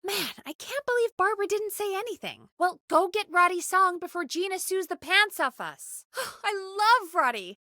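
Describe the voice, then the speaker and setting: A woman's voice: extremely high pitch, lively intonation, normal speed, hardly any pauses, pushed and bright, no room sound, clean studio sound, exaggerated voice. A woman playing a frank, anxious but caring radio producer in a video game, talking in an informal, emphatic, exasperated way. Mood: excited. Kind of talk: conversational video game voice acting.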